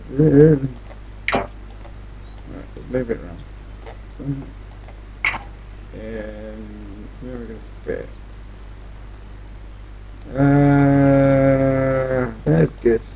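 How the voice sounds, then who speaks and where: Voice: medium pitch, extremely slow, long pauses, dull audio, noisy background. A gamer giving casual commentary on their own play, muttering to themselves and often repeating phrases. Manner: mumbling to self, repeating phrases.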